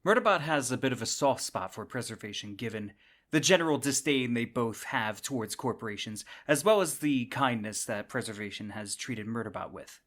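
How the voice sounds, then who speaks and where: A man's voice: mid-range voice, animated, normal speed, a bit bright. A man speaking as a podcast host, delivering explanatory commentary in a conversational, emphatic way with rhetorical questions. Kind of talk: semi-scripted explainer commentary.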